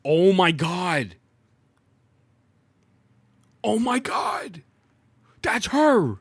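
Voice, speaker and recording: A man's voice: high voice, big pitch swings, extremely slow, creaky, husky, dry recording. A young man doing casual, slangy reaction commentary as a gaming streamer, often repeating short exclamations.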